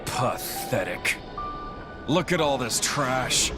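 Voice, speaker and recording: A man's voice: animated, slow pace, loud music behind the voice. A man playing a contemptuous, unhinged video game villain with a sneering, theatrical delivery. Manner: sneering, theatrical, swinging from low murmur to shouting. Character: contemptuous, unhinged male villain, cold then ranting. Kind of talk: video game cutscene acting.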